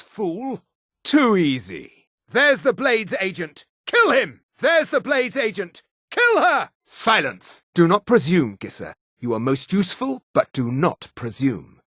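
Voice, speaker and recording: Snooty menacing elf interrogator, video game, bossy n clipped, bit husky, dry sound. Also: male voice, mid-range voice, no echo, full character voice. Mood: hostile, commanding. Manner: imperious, clipped, disdainful. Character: haughty, menacing high elf enforcer and interrogator. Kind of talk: scripted fantasy video game dialogue.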